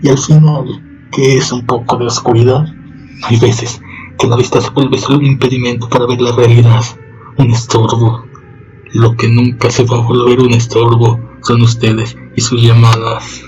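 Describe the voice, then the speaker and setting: A man hosting a horror radio show in a slow, solemn, ceremonious manner. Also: medium pitch, flat delivery, a little mellow, faint music behind. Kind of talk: scripted radio drama hosting. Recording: dull lo-fi sound.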